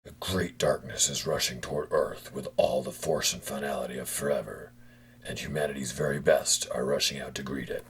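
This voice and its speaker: An actor playing a brooding masked vigilante hero in a dark superhero audio drama, grave and terse, often near a whisper. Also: male voice, very deep voice, steady tone, brisk. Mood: solemn.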